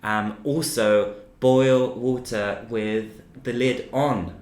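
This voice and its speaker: A man's voice: mid-range voice, steady tone, extremely slow, darkish tone. A man from Austria co-hosting a casual podcast, talking with many ums and restarts in a small office. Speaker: podcast co-host. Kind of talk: casual chat. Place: small echoey office by a street. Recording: echoey room.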